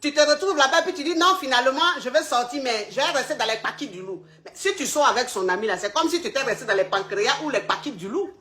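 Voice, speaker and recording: Blunt high-voiced woman giving advice. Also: expressive, fast talker, hardly any pauses, fairly bright tone. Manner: blunt, calls caller "ma chérie", repeats herself. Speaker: advice show host. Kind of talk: live call-in advice chat.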